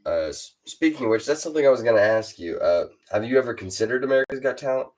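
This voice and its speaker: A teenage boy with a very deep voice, hosting a casual chat with a fellow rapper and hesitating often. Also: animated, fast, a little mellow. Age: teens. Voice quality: super deep voice. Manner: hesitant, lots of uh and restarts. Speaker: host interviewing a fellow rapper.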